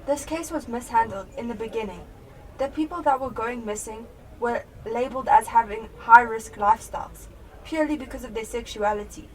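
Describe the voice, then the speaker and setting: An earnest, emotionally invested South African policewoman played by a young woman in a radio drama. Her voice sits fairly high. She is recorded away from the mic with clear room noise behind her. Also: fairly quick, close to an everyday voice. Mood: concerned. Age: young adult. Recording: distant mic, noisy room ambience.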